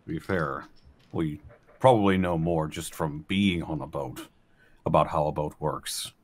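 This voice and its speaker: A man's voice: very deep voice, measured pace. A man talking casually as a player in a tabletop role-playing game. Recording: background noise on the mic.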